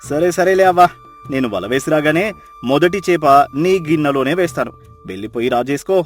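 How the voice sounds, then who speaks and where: Man acting a high-voiced expressive young fisherman. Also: fairly quick, faint music behind, lightly acted. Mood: teasing. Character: young fisherman husband, good-humoured, devoted, emotional.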